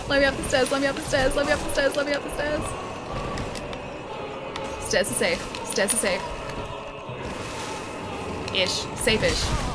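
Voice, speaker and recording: An Australian woman streaming a video game, chatting casually with her viewers, reading their messages aloud and laughing often. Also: a little high. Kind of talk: casual live-stream gaming chat.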